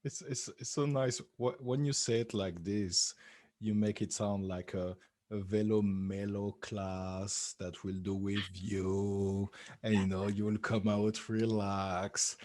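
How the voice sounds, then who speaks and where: A man hosting an interview in an upbeat way, with a sing-song greeting and some halting restarts. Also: on the low side, fairly even intonation, a little mellow, dry recording. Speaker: interview host. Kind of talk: online interview.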